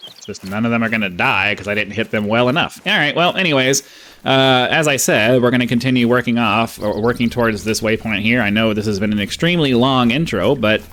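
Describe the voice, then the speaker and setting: A man with a mid-pitched voice gives casual play-by-play of a hunting game. He sounds easygoing and thinks aloud in short bursts. He is close to the microphone. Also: fairly quick, fairly bright tone. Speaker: gaming video host.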